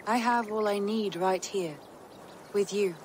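A woman playing a composed, tender Viking noblewoman in a video game, speaking in measured, earnest, formal phrases. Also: mid-range voice, slight background noise, close to an everyday voice. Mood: earnest, calm. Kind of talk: dramatic video game cutscene acting.

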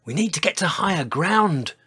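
A reader voicing a motherly, decisive female dinosaur with an emphatic, warm-hearted manner in a children's storybook. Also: medium pitch, lively intonation, moderate pace, breathy edge, pitched above the performer's own voice, exaggerated voice. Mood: alarmed.

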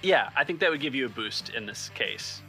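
A man's voice: medium pitch, expressive, fairly quick, pushed and bright, faint music behind, low-quality recording. A man running a tabletop role-playing game as game master, thinking aloud in a conversational way.

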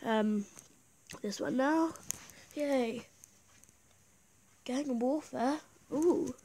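High voiced young-sounding female gamer w a cold, chatting casually while playing, kinda excitable. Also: extremely slow. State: has a bad cold. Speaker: gaming YouTuber. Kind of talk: casual gameplay commentary.

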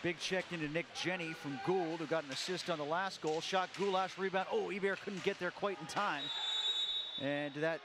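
A man calling a live hockey game play by play, quick calls that jump up on every goal. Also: on the high side, fairly even intonation, noisy background. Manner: rapid calls that rise sharply on goals. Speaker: play-by-play announcer.

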